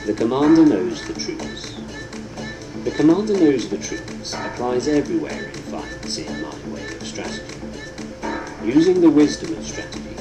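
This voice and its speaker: A man reading a book aloud as scripted narration, in a solemn, deliberate, instructive manner. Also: mid-range voice, poor audio. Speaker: audiobook narrator. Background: prominent instrumental music.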